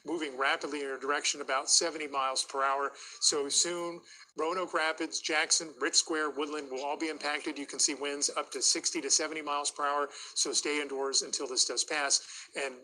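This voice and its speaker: Man, TV weather forecaster, bright urgent-edged tone, slightly high register, live warnings. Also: steady tone, a little fast, rough recording. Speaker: TV meteorologist. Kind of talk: live severe weather commentary. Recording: broadcast audio with faint noise.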